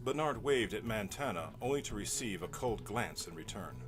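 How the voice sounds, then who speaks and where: A man's voice: fairly low pitch, lively intonation, normal speed. A man delivering dramatic scripted narration in a theatrical, mock-serious way. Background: music.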